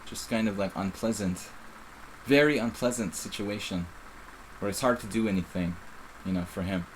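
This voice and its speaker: A man's voice: on the low side, normal speed, a little hiss or hum, rough recording. A middle-aged man with a faint Philadelphia accent, casually commenting on his own live chess game in a rambling, self-interrupting way. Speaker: chess streamer.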